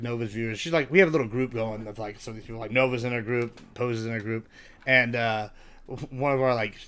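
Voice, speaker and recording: A young woman hosting a casual podcast chat, loud and profane, calling people "bro". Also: rumbling low. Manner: loud, swearing, says "bro" a lot. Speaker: podcast host.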